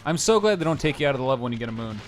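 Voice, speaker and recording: Fast-talking joking man over music. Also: mid-range voice, big pitch swings. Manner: jokey, chimes in with references and asides. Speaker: co-host of a gaming stream. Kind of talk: casual gameplay chat. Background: video game music.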